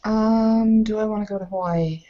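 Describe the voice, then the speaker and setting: A woman's voice: mid-range voice, steady tone, normal speed, highs cut off. A woman casually roleplaying as a player in a tabletop game session.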